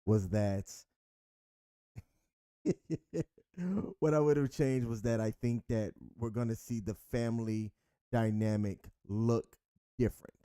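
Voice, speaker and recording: Easygoing man in his thirties, smooth mellow voice. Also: on the low side, fairly even intonation, slow, long pauses, no echo, studio quality. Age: 30s. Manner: easygoing, laughs at himself. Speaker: co-host. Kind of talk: casual couple chat.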